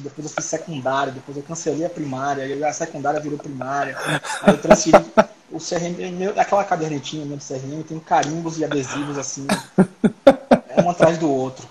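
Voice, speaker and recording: A man, chatting as a guest surgeon in a relaxed interview over a video call. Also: a little high, fairly quick. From Salvador, Bahia, Brazil. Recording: noisy home video call audio.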